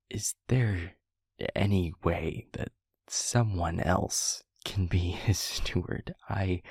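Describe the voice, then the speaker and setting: A man voicing an adult demon mentor in an intimate roleplay audio, confiding gently in the listener in a breathy, gravelly, halting murmur. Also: low-pitched, no room sound, studio quality, exaggerated voice. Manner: hushed, halting, unhurried, confiding. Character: adult male demon mentor, reflective, gentle, quietly amused. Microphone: close microphone, dry.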